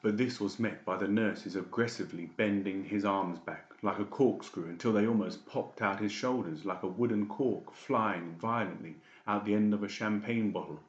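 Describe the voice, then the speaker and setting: A man's voice: lowish voice, normal speed, few pauses. A man reading scripted dramatic narration for an audiobook. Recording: muffled, echoey home recording.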